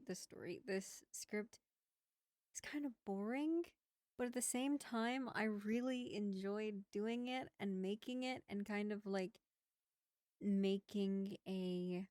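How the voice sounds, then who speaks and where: A woman talks casually to her listeners as a voice actor and writer. Her pace is slow and her pitch is average, with a hesitant, self-critical manner. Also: a little mellow, dry recording, clean studio sound. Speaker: voice actor and scriptwriter. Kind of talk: casual reflective aside to listeners.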